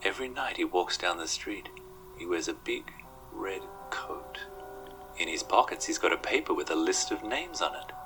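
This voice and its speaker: An eager little girl played by a man narrator in an animated horror story. She shares grim details in an earnest, hushed, matter-of-fact voice, with music playing clearly underneath. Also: low-pitched, normal speed, rough recording. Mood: earnest, secretive. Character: young daughter, eager, eerily earnest little girl telling stories.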